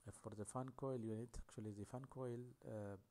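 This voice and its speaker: A man giving a technical lecture over slides, talking in a halting way with many fillers. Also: on the low side, fairly even intonation, mellow.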